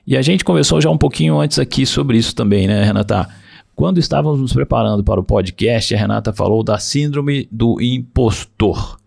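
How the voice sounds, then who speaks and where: A man hosts a career podcast interview, and his voice has a heavy gravelly fry all through. He talks in a measured, reflective way and sums up his guest's points. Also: mid-range voice, a little mellow. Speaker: podcast host.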